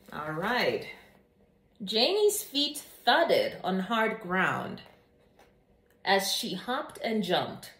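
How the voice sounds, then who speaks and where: Expressive woman reading aloud, echoey room. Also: mid-range voice. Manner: expressive, enthusiastic, deliberate storytelling. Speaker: book reviewer and children's read-aloud host. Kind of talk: scripted-sounding channel introduction and animated children's story reading.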